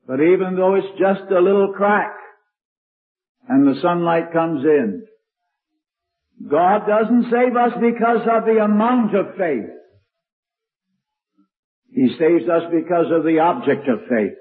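Older male preacher, slow and fairly high, strong carrying voice, tinny archival sound. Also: lively intonation. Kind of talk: old-time sermon.

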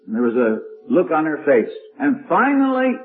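A man's voice: fairly high pitch, wildly swooping pitch, normal speed, soft background music. An older man preaching with a strong, carrying voice, telling stories in an old-time sermon. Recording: tinny lo-fi archival recording.

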